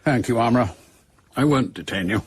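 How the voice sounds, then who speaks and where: A man acting in a radio drama as a middle-aged British intelligence chief, brisk, authoritative and clipped. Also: mid-range voice, breathy edge, no echo. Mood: commanding.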